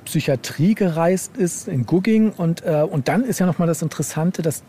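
Male author as interview guest, slightly high mellow voice, halting casual storytelling, noisy ambience. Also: husky. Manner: halting, repeats words, frequent tag "ne?". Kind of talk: casual conversational interview, telling anecdotes.

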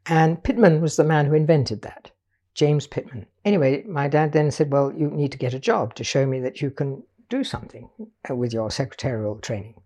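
Older woman from Portugal, warm husky voice, unhurried storyteller, explains things patiently. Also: low-pitched. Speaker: guest artist. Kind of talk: reflective life-story interview.

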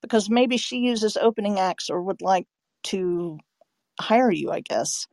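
A woman's voice: medium pitch, animated, unhurried, a little mellow, no echo, studio quality. A woman hosting a conversational interview, putting questions and comments to her guest. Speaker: interviewer, host.

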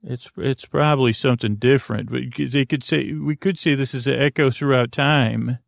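Male bedtime podcast host, mid-pitched and creaky, meandering and self-interrupting delivery, recorded dry. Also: normal speed, dry recording. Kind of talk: rambling bedtime podcast monologue.

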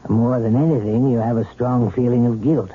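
An actor playing a calm male psychiatrist who speaks in a measured, soothing way, in a 1970s radio drama. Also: medium pitch, close to an everyday voice. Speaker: doctor. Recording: tinny 1970s radio broadcast with hiss.

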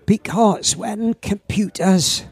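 A man in his seventies with a Welsh accent and a croaky old voice, chatting as a podcast guest and telling lively stories that repeat words for effect. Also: high voice, slow pace, no echo. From Wales. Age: 70s. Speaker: guest, veteran surfer. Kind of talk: casual anecdotal chat.

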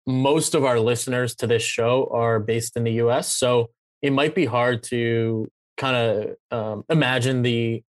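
A man's voice: medium pitch, no room sound. A man hosting a conversational business interview, rephrasing his questions as he goes. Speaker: show host, interviewer.

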